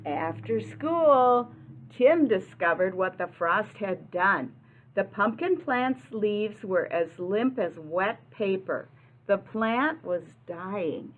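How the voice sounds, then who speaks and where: A woman's voice: medium pitch, lively intonation, takes it slowly, phone-quality sound. A woman reading a picture book aloud like an early-childhood teacher, talking simply and instructively to young listeners and asking them questions.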